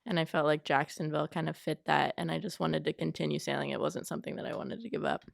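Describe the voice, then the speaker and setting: A woman's voice: low-pitched, steady tone, quick pace, gravelly, dry recording, studio quality. A young woman from Florida, a college sailing captain, answering interview questions fluently with frequent "um"s. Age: young adult.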